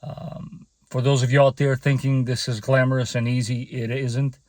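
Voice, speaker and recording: A man's voice: mid-range voice, flat delivery, moderate pace, a little mellow, dry recording. A man in his forties from Portugal, speaking English with a Portuguese accent as a second language, talking to camera in an earnest, grateful way with many hesitations. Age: 40s. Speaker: channel host and mechanic. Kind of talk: casual heartfelt talk to camera.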